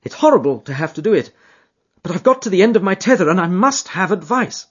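A man voicing a distressed, reserved man in his thirties who speaks in sharp, jerky outbursts. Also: sits high, very animated, quick pace, slightly rough, lo-fi sound, voice pushed up, heavily acted. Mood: distraught.

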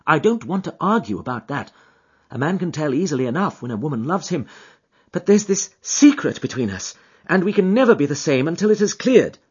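A man voicing a distressed, reserved man in his thirties who speaks in sharp, jerky outbursts. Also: on the high side, big pitch swings, brisk, husky, highs cut off, voice pushed up, exaggerated voice. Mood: earnest.

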